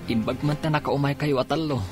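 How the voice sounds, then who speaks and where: A man playing a mild, dutiful police officer son in an Ilocano radio drama, with a slightly husky voice. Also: medium pitch, fairly even intonation, quick pace, over music, lightly acted. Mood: reassuring.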